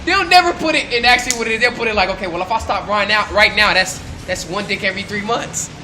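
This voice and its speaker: A man on the street challenging a passerby with quick, slangy questions. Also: high voice, sharp tone, reverberant, a little hiss or hum.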